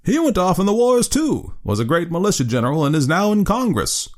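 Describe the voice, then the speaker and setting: A man's voice: sits high, sing-song, brisk, pitched above the performer's own voice. A man voicing an old man with a thin, piping voice. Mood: matter-of-fact.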